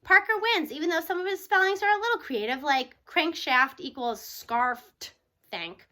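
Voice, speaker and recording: A woman with a very high, bright voice reads a children's story aloud. She narrates in the first person with animated expression, acting the tale out as she goes. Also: moderate pace. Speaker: audiobook reader.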